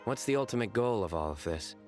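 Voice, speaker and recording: An earnest, serious man played by a male actor in a video game. His voice is fairly low. Music plays behind him. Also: lively intonation, fairly quick, close to an everyday voice. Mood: questioning.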